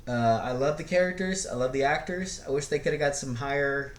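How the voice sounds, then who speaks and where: A man giving casual, unscripted movie commentary as a video host, often halting to search for words. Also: medium pitch. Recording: echoey room, faint background noise.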